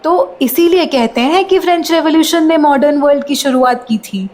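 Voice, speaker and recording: An actress as a curious young female student in a radio play. She has a high voice and an Indian accent, and sounds keen and impressed. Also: moderate pace, a little mellow, natural voice. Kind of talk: scripted radio play dialogue.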